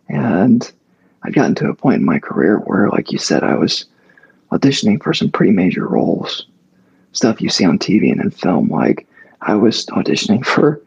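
A man's voice: lowish voice, creaky, a little mellow, slightly rough, muffled recording. A male actor speaking as an interview guest, telling a long story casually with many fillers and restarts.

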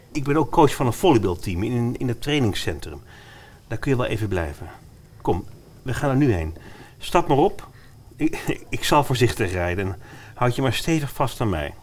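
A man's voice: lowish voice, slight background noise. A man playing an earnest young trainer in a fairy-tale radio play, emotive, torn and protective. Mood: protective, reassuring.

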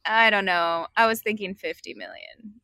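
A young adult woman co-hosting a podcast from outdoors, talking casually with lots of fillers. Her mid-pitched voice is bright and clear. The sound is dry and close to the mic. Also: moderate pace, no room sound. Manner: chatty, with many fillers, relaxed. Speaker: podcast co-host. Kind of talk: casual chat.

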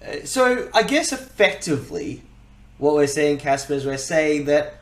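Podcast host guy chatting casually, emphatic, asks himself questions out loud, echoey room. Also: on the high side, very animated, a little slow. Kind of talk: casual discussion. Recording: roomy, echoey sound.

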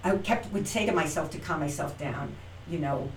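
A middle-aged actress chats as an interview guest, animated and acting out the lines she quotes. Her voice sits a little low and she sounds far from the mic. The room is echoey, with faint noise behind her. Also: normal speed. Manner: animated, acts out quoted lines, frequent restarts. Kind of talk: casual interview storytelling.

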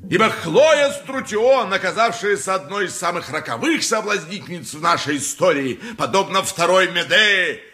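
An actor playing an impassioned, indignant lawyer in an ancient court, declaiming pompously in a theatrical radio play style. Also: male voice, high voice, lively intonation, moderate pace, few pauses, pushed and bright. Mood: accusing.

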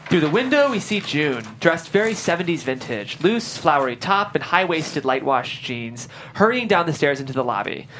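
A woman's voice: deep voice, big pitch swings, moderate pace, hardly any pauses, a bit bright. A woman reading stage directions as scripted narration at a table read.

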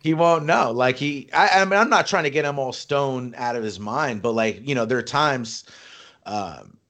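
Middle-aged man, mid-pitched voice, blunt and earnest, casual profane podcast talk. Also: moderate pace, a bit bright. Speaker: podcast co-host. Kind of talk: casual chat.

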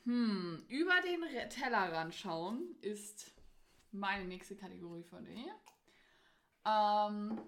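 A woman's voice: medium pitch, lively intonation, slow pace. Informal, bantering woman podcast co-host. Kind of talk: casual chat in a question card game.

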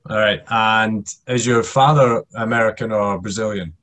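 A man hosts a relaxed, informal interview. His voice sits a little low, and he frames questions haltingly, doubling back on words. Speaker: podcast host, interviewer. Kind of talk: casual interview.

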